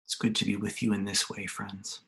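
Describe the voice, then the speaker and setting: A man leading a guided meditation over a video call, speaking quietly and soothingly with long pauses. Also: on the low side, completely flat delivery, vocal fry, slightly rough. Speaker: meditation leader, religious organization secretary.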